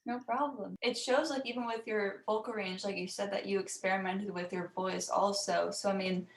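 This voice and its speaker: A woman's voice: mid-range voice, fairly even intonation, moderate pace. A woman hosting a casual interview, asking her questions in a conversational way. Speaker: interviewer and host.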